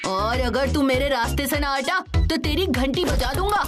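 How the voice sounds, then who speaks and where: A woman voicing a competitive, scheming young boy in an animated children's comedy, with exaggerated, boastful delivery. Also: high-pitched, normal speed, close to an everyday voice. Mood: competitive, annoyed. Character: young boy Chiku, competitive, scheming, eager-to-please big brother. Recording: studio voice under loud cartoon music.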